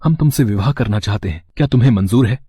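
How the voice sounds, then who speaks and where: A man's voice: lowish voice, quick pace, dark tone, breathy edge. A man voicing a lovestruck, determined young prince in a fairy tale, formal and resolute.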